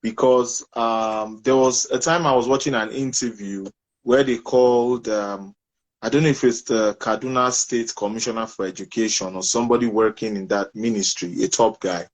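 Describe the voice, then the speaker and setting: A man's voice: lowish voice, fairly even intonation, moderate pace. A man from Nigeria hosting and moderating an online discussion, firm about keeping time. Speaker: host. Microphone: online call.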